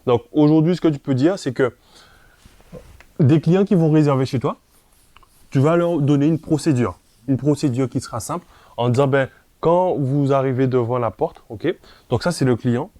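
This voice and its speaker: A man with a medium-pitched voice gives an informal, step-by-step explanation straight to the viewer. He is didactic and often checks that the listener is following. The recording is dry, with hardly any room sound. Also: normal speed, warm tone, no echo. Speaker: presenter of a property investment tutorial.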